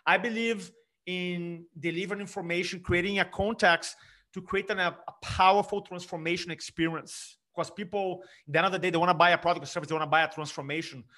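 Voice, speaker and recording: Energetic Brazilian-accented man, bright voice. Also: high voice, fast talker. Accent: Brazilian Portuguese. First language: Portuguese. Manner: energetic, emphatic, frequent restarts. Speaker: speaking coach. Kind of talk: conversational interview.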